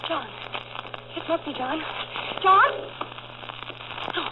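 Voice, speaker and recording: Fragile, terrified young woman, very high voice, slow halting delivery, old radio mystery. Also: animated, full character voice. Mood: frightened, unsteady. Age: young adult. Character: terrified young woman suspected of murder, fragile, near breakdown. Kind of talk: 1940s radio acting. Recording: muffled, crackly, very noisy 1940s radio.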